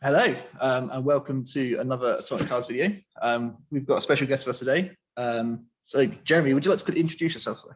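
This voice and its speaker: A man hosting an online interview, explaining a tournament in a relaxed, filler-heavy way. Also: mid-range voice, fast talker, few pauses, poor audio. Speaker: host.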